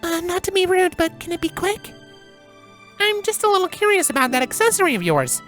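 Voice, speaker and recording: Woman acting young female pony, very high pitch, animated intonation, guarded, anxious, polite, audio story. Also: a little fast, faint music behind, exaggerated voice. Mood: politely curious. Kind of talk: audio drama character dialogue.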